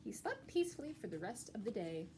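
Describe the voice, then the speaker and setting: A woman reading a children's story aloud in an expressive narrating style for young listeners. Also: medium pitch, fast, slight background noise.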